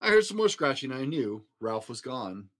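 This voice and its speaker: A man narrating a children's picture book in an expressive storytelling style. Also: mid-range voice, normal speed. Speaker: storybook reader.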